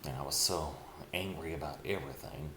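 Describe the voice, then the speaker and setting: A middle-aged man speaking a slow, heavy personal confession, pausing often and sighing. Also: very deep voice. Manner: slow, heavy, halting with sighs. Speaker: vlogger. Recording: echoey room with clear background noise.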